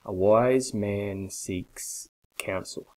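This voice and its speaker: A man in his forties giving a blunt, persuasive sales pitch straight to a webcam from a small bare office. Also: steady tone, drawn-out words, darkish tone. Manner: blunt, persuasive, emphatic with hand gestures. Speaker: coffee business consultant. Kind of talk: direct-to-camera sales pitch. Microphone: webcam at desk.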